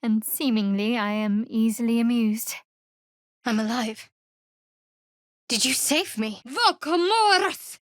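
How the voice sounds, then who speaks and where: Casting: guarded young female cleric, video game. Dry wit, measured delivery, slightly high pitch, very dry studio sound. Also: no room sound. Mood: wry, amused, then watchful, then fierce incanting. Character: guarded, devout, dryly sardonic young woman cleric adventurer.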